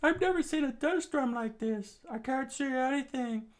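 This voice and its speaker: A man voicing agitated soldiers and rebels in an adventure story, with strained, frantic, exclamatory shouting. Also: very high voice, normal speed, pitched above the performer's own voice. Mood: panicked. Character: panicked, angry soldiers and rebels in battle.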